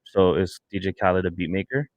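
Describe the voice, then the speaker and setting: A man talking casually on a podcast in a studio, making his points deliberately with pointed questions. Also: low-pitched, dry recording, dull audio. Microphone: close studio broadcast mic.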